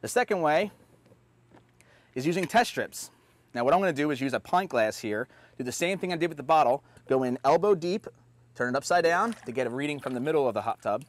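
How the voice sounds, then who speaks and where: A man's voice: a little high, expressive, a little fast. A man in his thirties hosting an unscripted how-to, talking step by step in an upbeat, plain way while working in a backyard. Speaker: host. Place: backyard outdoors beside a hot tub.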